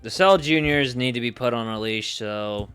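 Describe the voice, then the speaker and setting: A man streaming casually, thinking aloud in a musing, hesitant way with pauses mid-thought. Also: medium pitch, a bit bright, smooth, soft background music.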